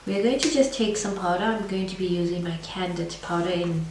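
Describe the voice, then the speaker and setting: A woman's voice: lowish voice, a little fast. Woman with the flu, scratchy stuffed-up voice, rambling makeup chat, sounds far off in a roomy space. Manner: chatty, rambling, with fillers. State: hoarse from a cold, congested. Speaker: beauty vlogger. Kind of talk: casual makeup review. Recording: echoey room, far from mic, faint noise.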